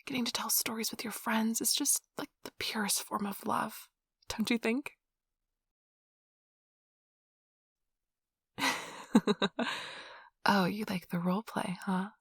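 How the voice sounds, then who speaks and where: A woman playing a nerdy, affectionate girlfriend in an ASMR roleplay, rambling softly and casually in a gushing, breathy voice. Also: fairly high pitch, steady tone, a little slow, no echo, studio quality, full character voice. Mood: affectionate, teasing. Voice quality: soft, breathy, slightly husky. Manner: gushing, casual, giggly, chatty. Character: nerdy affectionate girlfriend, excitedly rambling about tabletop gaming. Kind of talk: soft-spoken ASMR roleplay, intimate rambling. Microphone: close microphone, dry.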